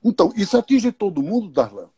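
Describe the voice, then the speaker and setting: A man's voice: high-pitched, sing-song, dark tone, slightly rough, no echo, clean studio sound. A man speaking as a guest in a live online talk, explaining his views thoughtfully and posing questions as he goes. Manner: unhurried, thoughtful, uses questions and examples.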